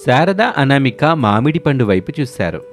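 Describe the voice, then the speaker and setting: A man narrating a scripted animated story as its storyteller, with music under his voice. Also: mid-range voice, normal speed.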